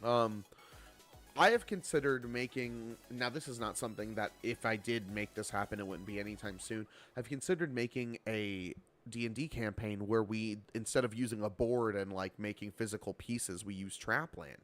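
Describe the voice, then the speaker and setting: A man's voice: on the low side, normal speed, fairly bright tone, faint music behind. A man of twenty-seven chatting casually on a game livestream, bantering playfully and swearing freely. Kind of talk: casual livestream chat while gaming.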